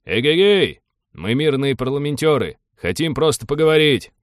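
A man's voice: low voice, normal speed, no room sound, clean studio sound, close to an everyday voice. A man voicing a brash, cynical young spaceship pilot with a colloquial, sardonic manner. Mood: calling out.

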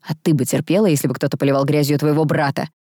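Casting: female reader as protective young man, stern, clipped and quick to anger, low-pitched, storybook drama. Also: fairly even intonation, mellow, no room sound, studio quality. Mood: indignant. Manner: blunt, through clenched teeth, stern. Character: hot-tempered, broad-shouldered young man defending his sister.

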